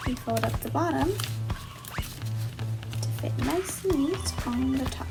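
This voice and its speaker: A woman's voice: high-pitched, expressive, a little slow, loud music behind the voice, poor audio. A young woman reading a children's story and giving simple craft instructions. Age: young adult. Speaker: volunteer storybook reader and craft demonstrator.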